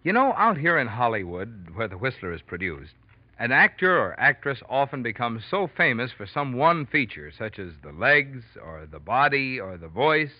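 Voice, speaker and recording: A man's voice: medium pitch, very animated, moderate pace, no room sound. A man working as a radio announcer, reading a scripted 1940s sponsor message in an emphatic, salesmanlike way. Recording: dry, muffled old radio recording.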